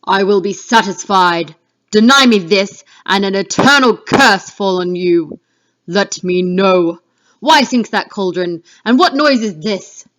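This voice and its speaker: A woman's voice: mid-range voice, takes it slowly, fairly bright tone. A woman reading the part of Macbeth, a fearful, defiant king, in a declamatory Shakespeare dramatic reading. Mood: defiant, demanding. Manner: declamatory, exclamatory. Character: Macbeth, male Scottish thane turned king, fearful and defiant. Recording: dull lo-fi home recording.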